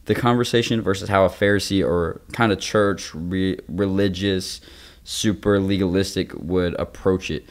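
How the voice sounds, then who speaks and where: A man's voice: deep voice, fairly even intonation, normal speed. A man in his twenties talking casually about faith as a podcast speaker, explaining in an informal, youthful way with everyday examples. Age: 20s. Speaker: young adult ministry podcast speaker. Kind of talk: casual faith discussion with teaching.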